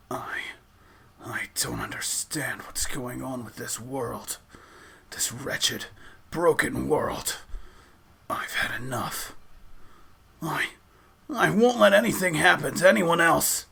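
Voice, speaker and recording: Angry, anguished young man acted by a man for an amateur demo, husky and rasping, with a forceful edge. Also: fairly high pitch, heavily acted. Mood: bitter, whispered. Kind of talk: melodramatic voice acting demo.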